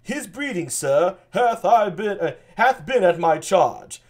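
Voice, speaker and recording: A bumbling old nobleman, bawdy and boastful, pitched high and swooping wildly up and down, as a man puts him on. He drags his words out slowly and booms them. It sounds like a home reading of a stage play. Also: expressive, exaggerated voice. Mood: bawdy boasting.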